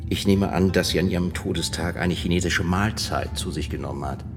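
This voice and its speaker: A sardonic doctor in a radio play with a very low voice, precise and dry in his phrasing. His words carry a long reverberation, as if spoken in a large hard room. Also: brisk, over music.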